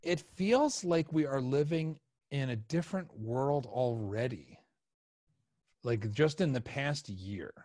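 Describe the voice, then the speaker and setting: A man in his forties hosting a casual interview, halting and rephrasing his questions as he goes. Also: medium pitch, lively intonation, a little mellow, no room sound, studio quality. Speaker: podcast host. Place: small home studio room, indoors. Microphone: close podcast microphone on a video call.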